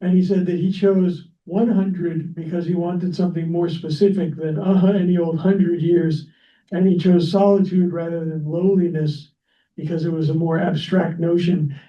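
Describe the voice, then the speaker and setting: A man moderating a library panel, speaking off the cuff in a halting way. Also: a little high, little pitch movement. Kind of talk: unscripted remarks at a library panel. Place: echoing library event room. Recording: roomy, muffled, distant microphone.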